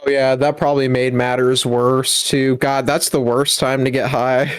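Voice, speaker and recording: A man's voice: mid-range voice, little pitch movement, normal speed, clean tone, no room sound. A man in his thirties chiming in on a casual podcast with short wry remarks. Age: 30s. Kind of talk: casual chat.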